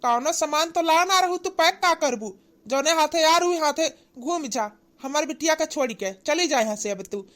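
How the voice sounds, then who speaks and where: Woman playing a high-voiced, angry cartoon husband. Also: animated, moderate pace, a bit bright. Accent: Awadhi. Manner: hectoring, repetitive scolding. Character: angry, callous village husband and doting son. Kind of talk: cartoon comedy acting.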